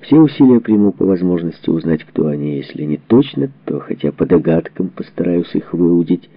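Guy narrating a history audiobook, lowish voice, formal and measured, kinda muffled lo-fi sound. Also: very animated, vocal fry, no room sound. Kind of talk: scripted narration of a historical book, reading letters and documents.

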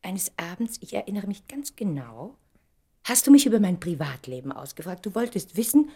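An actress in a German radio play playing a proud, probing woman who speaks in a deliberate, pointed, dramatic way. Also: on the low side, sing-song, warm tone, husky, heavily acted. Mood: wounded, reflective. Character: Valerie, proud woman, probing, articulate, emotionally insistent.

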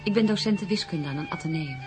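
An actress playing a polite, sensitive young female teacher in a radio drama, speaking in an earnest, soft way. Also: fairly low pitch, quick pace, natural voice. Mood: polite, curious. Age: young adult. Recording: radio play with music underneath.

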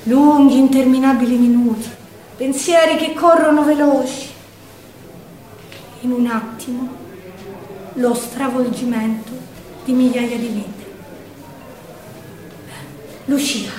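A woman acting a shaken young partisan caught in a wartime air raid, in an emotive theatrical monologue with a Roman Italian accent. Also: high-pitched. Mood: urgent, anguished. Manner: emotive, hushed to urgent, with weighted pauses. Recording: roomy echo, distant microphone.

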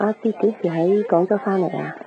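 An actress playing a gentle, knowing middle-aged mother who teases lightly in a radio drama. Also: medium pitch, fairly even intonation, brisk, a crowd in the background, natural voice. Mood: kindly. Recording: slightly muffled radio recording.

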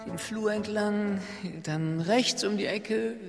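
In a long reverberant hall, a palace attendant speaks very deliberately. The voice is high and creaky, in radio play style. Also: male voice, faint music behind. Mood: unhurried. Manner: deliberate, step by step. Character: plodding palace attendant giving directions. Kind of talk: German radio play acting.

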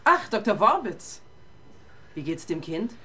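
Tough lady inspector in a radio crime play, mid-pitched, pointed, keeps control. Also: sing-song, measured pace, sharp tone, breathy edge, heavily acted. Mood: dry, controlled. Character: tough, probing female police inspector, relentless interrogator. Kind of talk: German crime radio drama acting.

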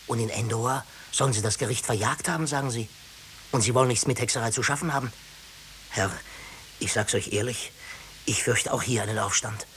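An actor playing a deferential, uneasy court official, cautious in speech, in 1950s German radio-drama style. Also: male voice, medium pitch, fairly quick, fairly bright tone, close to an everyday voice. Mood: uneasy, respectful. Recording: hissy 1950s radio recording.

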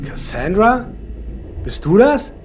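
An actor playing a tired off-duty police officer in a radio drama, casual and hesitant. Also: male voice, high-pitched, big pitch swings, slow, slight background noise, full character voice. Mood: weary.

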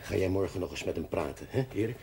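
An actor playing a well-meaning, chatty man in a television drama, speaking a little haltingly. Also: deep voice, expressive, vocal fry, a little mellow. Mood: concerned. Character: jovial, well-meaning man who tells old jokes. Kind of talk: naturalistic television drama acting. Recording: slightly noisy old TV soundtrack.

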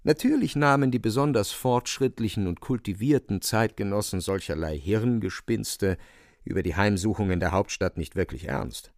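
An actor narrating a satirical story for a radio play in an ironic, theatrical, emphatic manner. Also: male voice, lowish voice, moderate pace, darkish tone, dry recording, clean studio sound, lightly acted. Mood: wry, ironic. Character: wry, theatrical male storyteller of satirical novel.

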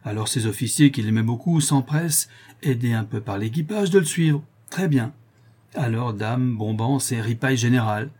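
A man's voice: mid-range voice, normal speed, darkish tone, slightly rough, natural voice. A man voicing an eager, plain-spoken sailor who tells a yarn in colloquial, exclamatory storybook style. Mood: relishing the yarn. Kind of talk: acted audiobook character dialogue.

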